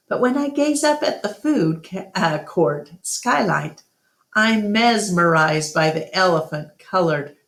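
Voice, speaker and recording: A woman reading a children's novel aloud in an expressive, deliberate storytelling style. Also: low-pitched, vocal fry. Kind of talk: expressive read-aloud narration. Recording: roomy, echoing room sound.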